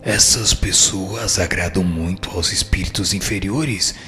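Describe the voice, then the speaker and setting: A man's voice: low voice, a little slow, sharp tone. A man with a Brazilian accent playing a wise, firm spirit who gives formal, didactic answers in a scripted radio drama. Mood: solemn, instructive. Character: instructive male spirit, wise, firm and solemn. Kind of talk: scripted dialogue, formal reading. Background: music.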